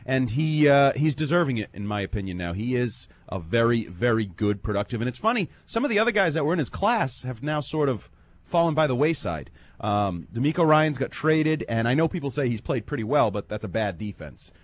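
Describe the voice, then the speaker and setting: Lively male sports radio host. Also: fairly quick, no room sound. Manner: animated, drops low and quiet when musing. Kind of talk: casual sports talk radio.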